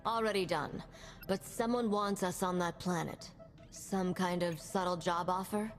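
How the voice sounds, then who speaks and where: A calm, dry crew pilot, voiced by a woman with a lowish voice. Her lines ring with a long reverb, and faint music plays behind. A video game cutscene. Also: measured pace, natural voice.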